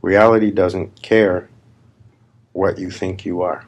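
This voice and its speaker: A man leading a guided meditation as a spiritual teacher, speaking in deliberate, emphatic, repeated phrases with long pauses. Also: deep voice, fairly even intonation, a little hiss or hum. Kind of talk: slow guided meditation talk. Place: live gathering.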